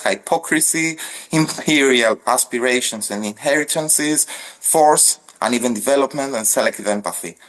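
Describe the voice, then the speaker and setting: A man's voice: medium pitch, poor audio. A man from central Greece with a Greek accent, a legal scholar reflecting formally on his path as a podcast guest. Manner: measured, formal, lists ideas one by one.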